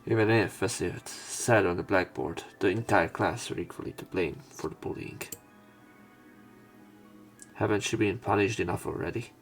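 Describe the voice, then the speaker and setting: A man's voice: sits low, normal speed, creaky. A man reading a visual novel script aloud as let's play narration, acting out the story. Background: faint game music.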